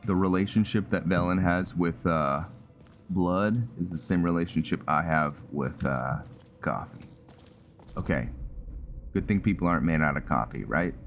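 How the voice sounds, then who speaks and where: A man's voice: deep voice, slight background noise. A man giving casual commentary on a roleplay video game, muttering to himself and often repeating his words. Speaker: gaming content creator.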